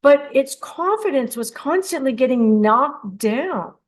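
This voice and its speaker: A woman's voice: sits high, lively intonation, a little slow. An older woman hosting a radio show alone, talking in a chatty, encouraging way with frequent restarts. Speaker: host of a dream radio show. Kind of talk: casual solo radio talk.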